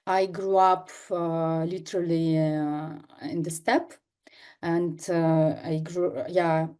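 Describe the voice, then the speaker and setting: A young adult woman from northern Kazakhstan, a native Kazakh speaker, giving a seminar lecture over a video call, halting with many fillers and restarts. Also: low voice, flat delivery, mellow, clean tone. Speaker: guest lecturer, artist. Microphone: computer mic on video call.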